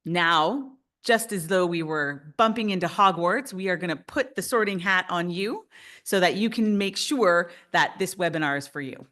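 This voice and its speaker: A woman's voice: lowish voice, normal speed, a bit bright. A woman in her fifties from Canada, presenting a webinar as a realtor in an upbeat, enthusiastic way.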